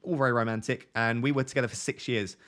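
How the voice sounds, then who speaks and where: A man's voice: medium pitch, steady tone, fast talker, a bit bright. A man in his twenties from Essex, with a toned-down Essex accent, chatting candidly on a live stream and answering viewers' questions, rambling through tangents and restarts. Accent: softened Essex English. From Essex, England. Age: 20s. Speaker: fantasy football streamer answering chat. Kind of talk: casual live-stream chat.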